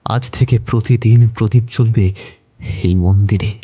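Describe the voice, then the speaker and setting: A man acting as a devout village husband full of awe and gratitude in a cartoon ghost story. His voice is dark and husky, and the recording sounds dull and muffled. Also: on the low side, fairly even intonation, normal speed. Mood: solemn, grateful. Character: devout, awestruck village husband, grateful to goddess. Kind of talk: audio story dramatization.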